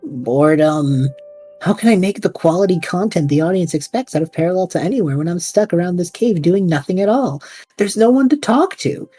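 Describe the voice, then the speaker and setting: A woman's voice: lowish voice, lively intonation, a little fast, dark tone, slightly rough, faint music behind. A woman voicing a restless young male time traveler who whines and rambles dramatically, in an audio drama. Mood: bored whining.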